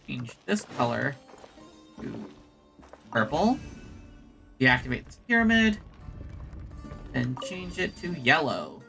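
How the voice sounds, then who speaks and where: A man giving casual live gameplay commentary, halting and often repeating his phrases. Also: mid-range voice, big pitch swings, creaky, music in the background. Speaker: game streamer.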